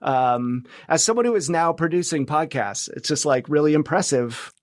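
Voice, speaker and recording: A man's voice: a little high, animated, moderate pace, dry recording. A man talking casually as a podcast guest, phrasing things tentatively, trailing off and rephrasing as he goes. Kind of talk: casual conversational interview.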